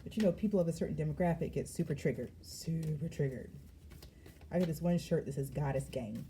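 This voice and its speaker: Low, dark-voiced woman tarot reader. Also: brisk, a little hiss or hum. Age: adult. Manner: lively, slangy and emphatic, with frequent laughs. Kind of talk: casual spiritual reading vlog.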